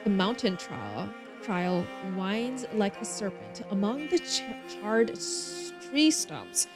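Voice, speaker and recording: A woman hosting a game livestream, expressively reading story text aloud and chatting with viewers in bubbly asides. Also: mid-range voice. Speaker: streamer and host.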